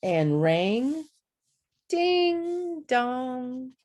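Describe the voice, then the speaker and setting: A woman reads a children's story aloud. Her pace is slow and measured, and her pitch moves up and down in an animated way. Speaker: storybook reader. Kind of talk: expressive read-aloud for children.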